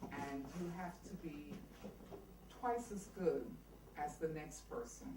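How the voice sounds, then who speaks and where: A middle-aged woman from Trinidad and Tobago, a judge giving an unhurried, storytelling speech at a community event. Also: low-pitched. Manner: warm storytelling, unhurried, laughs easily. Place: community center hall. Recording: echoey hall from far off, noisy.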